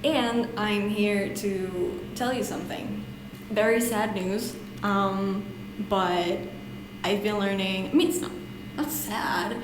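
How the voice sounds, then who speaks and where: A young Hungarian-speaking woman vlogs in a small tiled bathroom, her voice ringing off the walls. She rambles, restarts and giggles at herself, and a steady background hiss runs under her casual chat. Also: medium pitch, takes it slowly. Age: young adult. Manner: giggly, self-deprecating, rambling with frequent laughs. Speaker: language-learning vlogger. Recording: echoey bathroom with noisy background.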